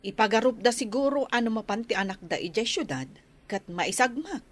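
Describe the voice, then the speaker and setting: A woman's voice: a little high, expressive, fairly quick. A woman playing a caring, concerned village nurse in an Ilocano radio drama.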